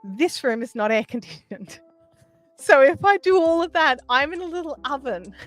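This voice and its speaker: High-voiced woman livestreamer. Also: expressive, normal speed, a bit bright, no echo, faint music behind. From Australia. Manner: conversational, explanatory. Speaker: livestream host. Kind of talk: casual livestream chat answering viewer questions. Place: small sound-treated home room.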